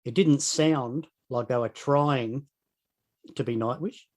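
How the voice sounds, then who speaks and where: Man, Australian accent, warm mellow tone, chatty interview host, encouraging. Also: mid-range voice, takes it slowly. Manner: enthusiastic and encouraging, with filler sounds and throat clearing. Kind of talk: casual interview chat.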